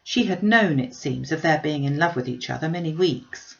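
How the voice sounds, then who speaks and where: Woman reader as a sweet worried young lady in an old novel, careful and earnest, croaky, muffled audio. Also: low voice, animated. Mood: troubled, earnest. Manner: earnest, measured, conciliatory. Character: gentle, charitable, troubled young gentlewoman, the elder sister.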